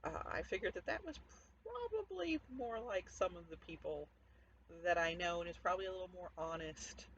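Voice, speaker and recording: A woman's voice: lowish voice, expressive, normal speed. A woman author speaking informally as she presents her own fiction from home. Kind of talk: casual introduction to a home story reading. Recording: dull lo-fi audio, noticeable background noise.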